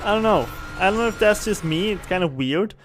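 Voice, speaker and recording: Chatty man with a higher voice. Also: expressive, a little fast, noisy background. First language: German. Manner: rambling, self-correcting, chuckles often. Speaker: streamer. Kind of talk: casual chat while gaming on stream.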